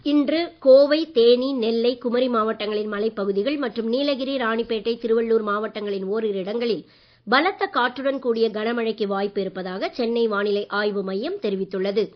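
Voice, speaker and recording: A woman newsreader reading a regional radio bulletin in a formal, measured way. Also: fairly high pitch. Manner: measured, even delivery. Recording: dull lo-fi radio broadcast.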